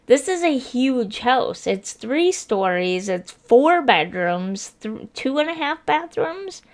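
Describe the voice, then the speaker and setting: A woman narrating a game build video in a casual, rambling way, her voice a little rough and stuffy from congestion. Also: on the high side, sing-song, slow. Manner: chatty and apologetic, rambling with fillers. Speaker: gaming video creator. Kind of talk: casual voice-over commentary over a speed build.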